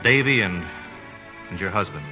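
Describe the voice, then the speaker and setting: Casting: earnest college boyfriend, deep voice, halting delivery, vintage radio romance with music. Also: male voice, animated. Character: sincere, halting young college suitor. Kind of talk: 1950s radio drama acting.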